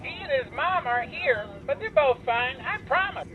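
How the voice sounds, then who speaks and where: A man's voice: very high voice, lively intonation, moderate pace, crowd noise. A man playing a polite, naive living teddy bear in an audio drama, heard as if over a telephone. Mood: polite, friendly. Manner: courteous, naive. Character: polite, earnest living teddy bear leading hostage takers. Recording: tinny phone line with background noise.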